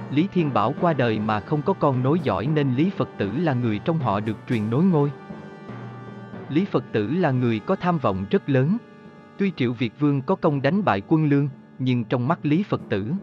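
A man's voice: mid-range voice, lo-fi sound. A man narrating history from a script in a formal storytelling manner. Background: background music.

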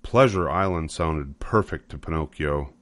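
A man's voice: very deep voice, measured pace, fairly bright tone, no echo. An older man reading a children's story aloud as scripted narration. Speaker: storybook reader.

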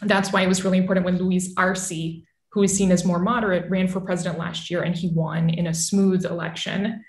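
A woman in her thirties explaining politics as an expert guest on a video call, articulate and measured. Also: fairly low pitch, flat delivery. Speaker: newsletter author and analyst guest. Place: small home room with bookshelf, indoors. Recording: roomy, echoing video call audio.

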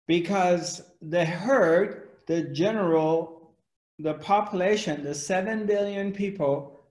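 A man in his forties speaks slowly to a webcam in a room at home, asking question after question with broad hand gestures. His voice sits a little high. The room adds a noticeable echo. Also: darkish tone. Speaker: spiritual teacher. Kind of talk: spiritual discourse to camera. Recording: echoey room.

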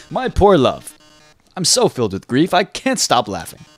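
Hammy robot showman, theatrical, melodramatic, gloating, as a man puts him on, wide swings in pitch. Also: bright, forceful tone, heavily acted. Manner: theatrical, melodramatic, mock-grieving.

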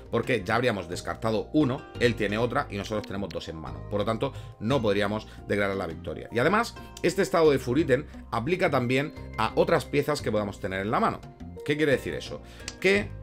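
Young guy w castilian accent doing a game tutorial, normal male pitch, explains and rephrases stuff, bit of music behind. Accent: Castilian Spanish. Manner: didactic, frequent rephrasing and examples. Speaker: gaming tutorial host. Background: background music with guitar.

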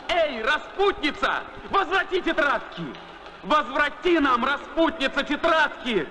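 An actor playing a bitter, wounded young lover, reciting verse in an impassioned, declamatory way for an animated film. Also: male voice, extremely high pitch, full character voice, poor audio. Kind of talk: dramatic recitation of ancient verse.

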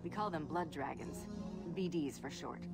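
A woman playing a serious, guarded scientist in a video game cutscene, speaking in a measured, earnest way. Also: low voice. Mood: grave, explanatory. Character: serious, guarded female scientist doctor, secretly helping. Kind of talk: dramatic game cutscene acting. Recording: loud music behind.

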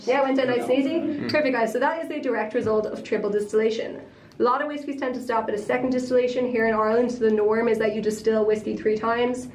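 A woman's voice: fairly high pitch, fairly even intonation, fast talker, recorded from a distance. A woman tour guide explaining a whiskey tasting to a group in a friendly, informative way. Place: indoor whiskey tasting room. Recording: echoey room, mic from afar, noisy.

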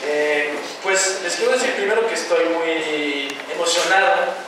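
A man's voice: a little high, unhurried. A man in his forties, born in France and speaking a second language, giving a thoughtful, hesitant panel talk with many restarts. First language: a regional language of France. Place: large classroom with panel audience. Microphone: handheld mic, far camera. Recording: echoey room, far mic, background hum.

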